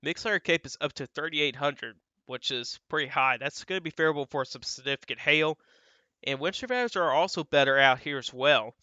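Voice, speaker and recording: A young man with a slightly stuffy, dry-throated voice walks through weather forecast maps in a loose, rambling way, leaning on the same filler phrases. His tone is bright and clean, and he sits close to a muffled, slightly dull microphone with no room sound around him. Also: a little high, a little fast, smooth, no echo. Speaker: weather channel host. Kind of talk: casual weather forecast commentary over model maps.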